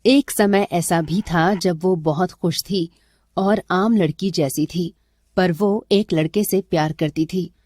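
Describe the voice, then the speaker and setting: Female storyteller for dramatic tales: unhurried, soft dark tone, dry close recording. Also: fairly low pitch, no room sound. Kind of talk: scripted dramatic story narration.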